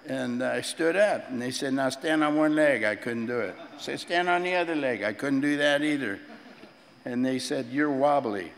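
An eighty-year-old man giving personal testimony at a recovery meeting, halting and repeating himself with frequent chuckles. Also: medium pitch, gravelly, big-room sound. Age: 80s. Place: large meeting room with tables.

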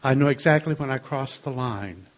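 An older man with a soft voice, sharing his own story in a gentle, wry way. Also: medium pitch, normal speed. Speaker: speaker sharing a recovery story. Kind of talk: personal testimony to a group.

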